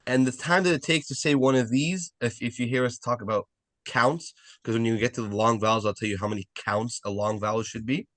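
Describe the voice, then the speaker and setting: A man's voice: mid-range voice, steady tone, a little fast, a bit bright, no echo. A man teaching a live online beginner language class, explaining step by step, repeating key points and often checking with "right?". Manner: repetitive, checks understanding with frequent "right?".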